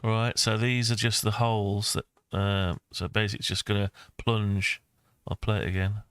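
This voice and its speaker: An older man with a low, smooth voice talks through a screen-recorded tutorial in a casual way. His delivery stays very flat as he rambles and thinks aloud. The sound is close and dry, as from a desk microphone. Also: moderate pace, no echo. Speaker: maker and video host.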